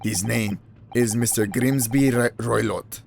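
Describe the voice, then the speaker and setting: A man's voice: mid-range voice, unhurried, creaky, a little mellow, faint music behind, voice pushed down. A man voicing a huge, blunt male sailor guard with a put-on Russian accent and clipped, broken English. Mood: gruff.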